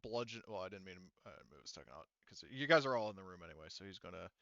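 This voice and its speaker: A man acting as game master for a tabletop roleplaying game, narrating casually and haltingly as he thinks through the rules aloud. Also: sits low, lively intonation, a bit bright, no echo, muffled recording.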